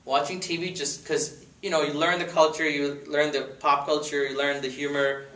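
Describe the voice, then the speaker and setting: A man's voice: a little high, little pitch movement, moderate pace, pushed and bright. A man in his thirties, from India and a native Gujarati speaker, giving a casual, joking talk about himself to friends after dinner. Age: 30s. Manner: chatty, rambling, joking. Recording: echoey room, mic far off.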